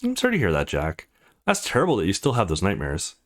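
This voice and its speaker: A man voicing a brash, teasing, foul-mouthed teenage girl in a visual novel, blunt and excitable. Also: low voice, fast talker, voice pushed down, full character voice. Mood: sympathetic. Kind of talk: visual novel character reading.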